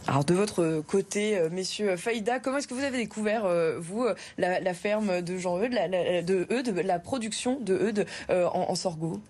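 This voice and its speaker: A woman hosting a TV interview, asking guests questions with brisk prompts and fillers. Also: mid-range voice, a little mellow. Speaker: TV host, interviewer.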